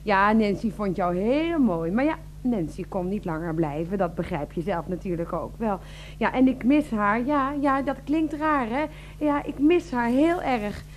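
A woman's voice: medium pitch, expressive, measured pace, a little hiss or hum, lightly acted. A woman playing a doting housewife in a radio play, cooing and chatting to her houseplants as if to babies. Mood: wistful, fond. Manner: cooing baby talk, coaxing, chatty.

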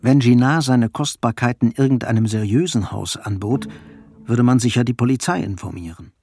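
An actor narrating a radio play as a sober, observant storyteller, with a gravelly, husky voice and measured delivery. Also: male voice, medium pitch, darkish tone, dry recording, clean studio sound, soft background music. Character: sober, observant male storyteller of a melancholy novel.

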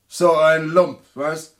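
An actor playing a vain, boisterous writer in a German radio drama, with a pompous, declamatory delivery. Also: male voice, fairly high pitch. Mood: indignant. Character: vain, boisterous male hack writer, self-important.

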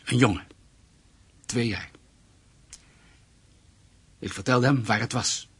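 Very husky, guarded captive man. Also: mid-range voice, slow pace, a bit bright, full character voice. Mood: grave confession. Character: anxious captive German ex-Nazi, a father, pleading and confessing. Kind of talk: radio play acting.

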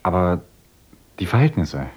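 An actor playing an earnest, idealistic young village teacher in a radio play who lectures eagerly and swings between gentle talk and rapturous visions. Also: male voice, deep voice, sing-song, measured pace, breathy edge, full character voice. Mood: earnest, dreamy.

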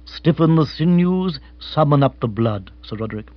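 A man's voice: on the high side, animated, normal speed, no room sound, highs cut off, natural voice. A man voicing an unflappable, formal English manservant in a storybook, very polite, precise and wordy. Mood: measured, deferential. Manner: stately, circumlocutory, very polite.

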